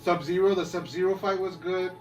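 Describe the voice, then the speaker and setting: A man's voice: sits high, fairly even intonation, fairly quick. A man co-hosting a podcast, rambling casually in slangy talk and swearing freely. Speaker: podcast co-host. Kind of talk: casual chat about movies and video games. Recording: distant mic with music behind. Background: music.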